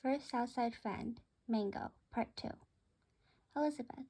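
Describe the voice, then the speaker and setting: A woman's voice: fairly high pitch, measured pace, mellow, breathy edge. A woman reading a first-person children's story aloud as its narrator.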